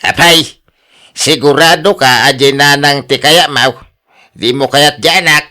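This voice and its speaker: An actor with a Filipino accent playing a teasing, persuasive village buddy in a radio drama, needling with questions. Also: male voice, a little high, unhurried, sharp tone. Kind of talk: Ilocano radio drama acting.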